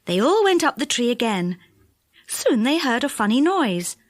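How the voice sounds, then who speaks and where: A woman narrating a children's audiobook in an expressive storytelling style. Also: a little high, moderate pace, dry recording, studio quality.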